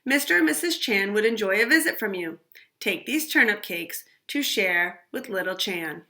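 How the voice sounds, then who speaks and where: Woman reading firm mother, bright and lively, storybook. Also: medium pitch, moderate pace. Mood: coaxing. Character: caring, firm mother of a girl.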